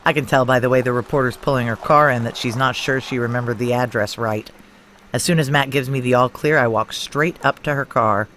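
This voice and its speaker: A woman's voice: rumbling low, fairly even intonation, fairly quick, fairly bright tone, a little hiss or hum. A woman playing a hard-bitten but lovesick ex-soldier in a noir audio drama, blunt and emotionally raw. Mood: tense, narrating. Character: hard-bitten, lovesick female ex-soldier narrating her own heist.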